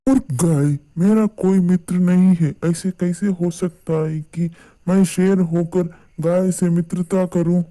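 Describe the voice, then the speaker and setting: A woman voicing a cunning, smooth-talking male lion with a predatory edge in a storybook fable. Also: lowish voice, steady tone, dark tone, pitched below the performer's own voice, close to an everyday voice. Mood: menacing. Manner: slow, persuasive and predatory. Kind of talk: storybook animal fable dialogue.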